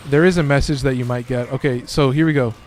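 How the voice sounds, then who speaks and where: A man with a slightly hoarse, raspy voice, giving loose and profane casual commentary while streaming a game. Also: mid-range voice, fairly even intonation, quick pace, a little mellow, a little hiss or hum. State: a little hoarse. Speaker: gaming streamer.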